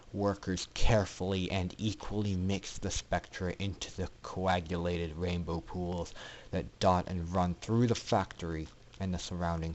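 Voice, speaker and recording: A man reading a dark story aloud as a dramatic narrator, with theatrical, heavy emphasis. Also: deep voice. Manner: theatrical, heavily emphatic delivery. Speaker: amateur story reader.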